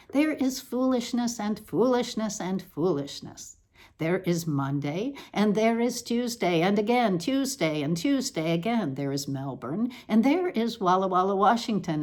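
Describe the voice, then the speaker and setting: A woman with a fairly low voice reads literary prose aloud as a performance. Her delivery is deliberate and declamatory, with firm emphasis on words that come round again. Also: darkish tone. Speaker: reader of a literary essay. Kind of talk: scripted dramatic reading of a literary parody.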